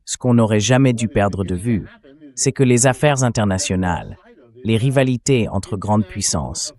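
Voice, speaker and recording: A synthetic male voice delivering translated interview commentary in a measured, explanatory way, as a political commentator. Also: fairly low pitch, expressive, darkish tone. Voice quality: synthetic text-to-speech voice.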